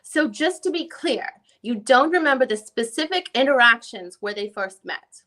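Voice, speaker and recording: A woman's voice: a little high, animated, normal speed, bright, forceful tone. A woman playing a female attorney, putting formal, methodical questions as she reads a deposition transcript aloud. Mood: probing, methodical. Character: probing female defense attorney questioning a witness. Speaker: reader in an online dramatic reading.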